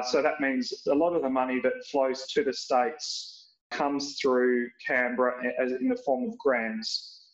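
A man with an Australian accent talks through policy comparisons in a measured, explanatory way, pausing often on fillers and restarting phrases. His voice sits at a middling pitch. The sound is roomy and a bit dull and lo-fi. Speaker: podcast guest, policy researcher. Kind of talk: prepared remarks on a podcast.

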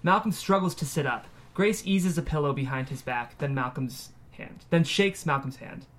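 A man reads out screenplay stage directions as a narrator. His voice is a little higher than most men's, and he delivers scripted scene description for a table read. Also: normal speed, fairly bright tone.

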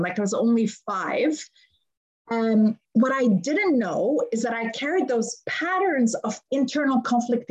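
A woman's voice: fairly high pitch, darkish tone, highs cut off. A woman in her fifties with a Lebanese accent, a guest on a podcast, explaining her work earnestly and repeating phrases for emphasis. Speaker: guest, conflict resolution coach. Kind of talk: podcast interview.

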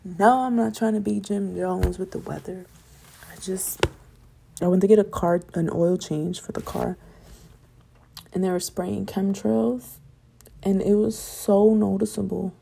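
A young woman hosting a solo podcast late at night, chatting casually and rambling about staying positive, her voice getting raspy. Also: medium pitch, steady tone, unhurried, pauses often, dark tone, a little hiss or hum. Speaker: podcast host.

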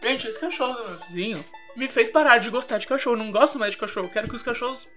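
Brazilian woman hosting over clear music. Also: fairly high pitch, quick pace. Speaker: podcast host. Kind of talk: casual chatty podcast hosting.

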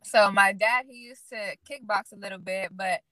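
A woman's voice: medium pitch, moderate pace, noisy background. A young woman from Illinois, a pro boxer chatting casually as a podcast guest, often saying "like". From Illinois, USA. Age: young adult. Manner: relaxed, fills speech with "like". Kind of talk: casual interview chat.

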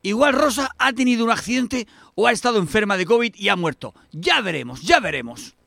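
Man playing a shrill, scheming Spanish eldest brother. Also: very animated, brisk, gravelly, sharp tone, no room sound, clean studio sound, exaggerated voice. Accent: Spain. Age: middle-aged. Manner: exclamatory, scornful, sarcastic. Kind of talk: amateur radio soap acting.

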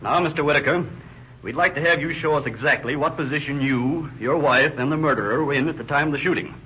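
Hard-nosed police captain in old radio drama, talks fast, sharp forceful voice, coolly probing. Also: male voice, fairly high pitch, faint music behind. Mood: accusing. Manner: brisk, authoritative, pointed questioning. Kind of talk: old-time radio crime drama acting. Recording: muffled, noisy old radio recording.

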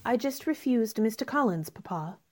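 Woman playing high, lively, headstrong young gentlewoman. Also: normal speed. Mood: firm. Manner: polite, firm, spirited. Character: polite but headstrong, outspoken young gentlewoman. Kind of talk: theatrical radio drama acting.

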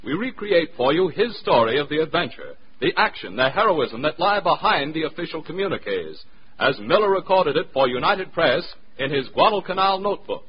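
A man's voice: on the high side, expressive, brisk, slightly rough, muffled recording. A man with an American accent reading formal, emphatic, dramatic narration as a 1940s radio announcer on an old recording.

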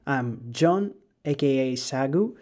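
A young man with a Greek accent speaks in a mellow, warm tone. He talks earnestly and sounds slightly nervous as he hosts his own podcast alone. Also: medium pitch, animated, slow. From Greece. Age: 20s. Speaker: podcast host. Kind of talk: solo podcast monologue.

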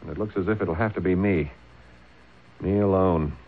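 An actor playing a principled, earnest colonial gentleman with formal, emphatic diction in an old-time radio drama. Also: deep voice, moderate pace. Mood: urgent, resolute. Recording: tinny, band-limited old radio recording.

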